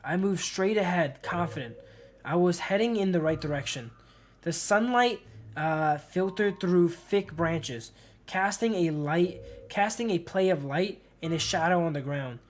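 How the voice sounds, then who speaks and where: A man's voice: sits high, measured pace, a little hiss or hum. A man doing casual game commentary as a streamer, reading story text aloud and chatting in loose bro-and-dude slang. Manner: slangy, informal, often addressing listeners as bro and dude. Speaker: live streamer. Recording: music playing behind the voice. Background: music.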